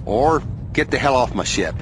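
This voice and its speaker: A man's voice: medium pitch, animated, normal speed, background noise, natural voice. An actor playing a cocky, swaggering male space racer who taunts with a showy manner, in a game cutscene. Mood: taunting. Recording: game cutscene with loud music.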